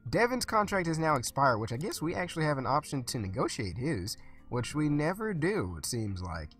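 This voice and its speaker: A man's voice: a little high, sing-song, a little fast, a bit bright, faint music behind. A young man with a stuffy voice casually commenting while playing a management game, thinking aloud with lots of fillers. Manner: chatty, thinks aloud with frequent uh and restarts. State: stuffy, recovering from illness. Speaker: let's play host.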